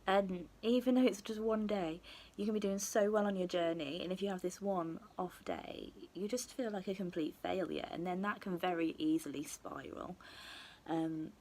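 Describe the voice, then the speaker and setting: A young woman who is losing her voice, husky, talking candidly to camera with lots of ums. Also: on the low side, moderate pace, a little mellow. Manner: candid, rambling with many ums. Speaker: beauty vlogger. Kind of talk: casual talk to camera.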